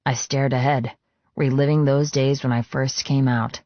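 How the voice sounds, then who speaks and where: A man's voice: mid-range voice, little pitch movement, moderate pace, darkish tone, dry recording. A man narrating a novel in the first person as a scripted, intense and dramatic read. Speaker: audiobook narrator. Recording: dry, slightly dull sound.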